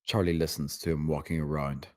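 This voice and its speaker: A man's voice: rumbling low, flat delivery, brisk, darkish tone, no echo, studio quality. A man reading stage directions as scripted narration at a screenplay table read.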